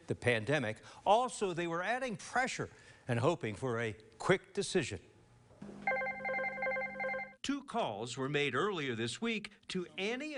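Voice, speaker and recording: A male consumer news reporter with a fairly high voice and very lively intonation. He reads his report deliberately, stressing key phrases in a broadcast style. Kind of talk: scripted broadcast news report with interview questions.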